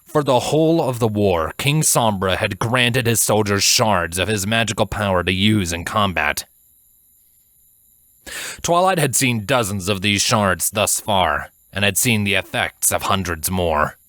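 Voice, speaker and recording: A man reading a fantasy story as a narrator, in a measured, dramatic way. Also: lowish voice, animated, a bit bright, no echo, studio quality. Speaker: fan fiction narrator.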